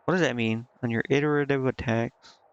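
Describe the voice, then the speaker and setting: A man's voice: mid-range voice, steady tone, fairly quick, clear, resonant tone, dry recording, dull audio. A man giving casual gameplay commentary, indecisive and muttering to himself as he streams. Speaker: game streamer. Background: game music.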